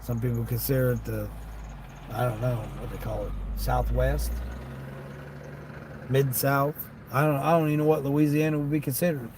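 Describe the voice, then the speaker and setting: A man with a light Southern American accent talks in a mid-pitched voice, rambling casually like a driver hosting a livestream. His speech is folksy and unscripted. A faint vehicle noise sits behind him. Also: normal speed. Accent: American with a Southern tinge. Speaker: livestream host, gig delivery driver. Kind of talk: casual livestream chat, replying to viewers. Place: inside a parked vehicle. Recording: steady vehicle and ambient noise.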